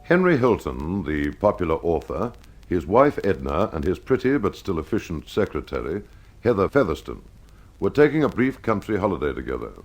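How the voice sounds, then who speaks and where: A man hosting and narrating a scripted detective mystery in old-time radio style, telling it to the listener in a measured, dramatic way. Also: sits low, sing-song, faint music behind. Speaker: host and narrator of a mystery programme.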